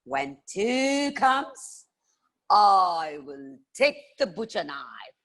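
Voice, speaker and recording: A woman voicing an old lady who drawls slow, playful threats about a butcher knife. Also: mid-range voice, sing-song, a bit bright, full character voice. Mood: mock threatening. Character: old woman rocking and yawning, mock-menacing.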